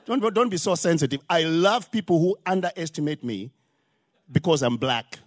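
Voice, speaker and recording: A man's voice: on the high side, expressive, normal speed. An older man from Zimbabwe with a Zimbabwean accent, preaching a sermon in an emphatic style that builds to rising exclamations. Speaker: pastor.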